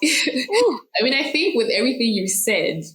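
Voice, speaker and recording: A woman's voice: a little high, very animated, measured pace, fairly bright tone. A woman hosting a podcast interview, upbeat and chatty, laughing easily. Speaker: podcast host. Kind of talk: casual interview.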